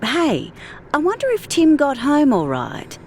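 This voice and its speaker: Woman acting out a giddy older woman, high-pitched gushing. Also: measured pace, a little hiss or hum, full character voice. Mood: hopeful, eager.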